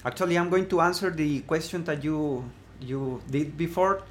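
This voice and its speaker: A man from Mexico with a Mexican Spanish accent, speaking as an interview guest in a casual chat, pausing often with fillers and restarts. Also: on the high side, a little hiss or hum. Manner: hesitant, many fillers and restarts. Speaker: guest, blogging course creator.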